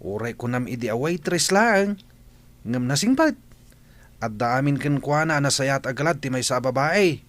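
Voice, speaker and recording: A man playing a frank, preachy buddy in a radio drama, with an animated, admonishing manner. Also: mid-range voice, normal speed, lightly acted. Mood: teasing, admonishing. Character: frank, preachy male buddy giving moral advice.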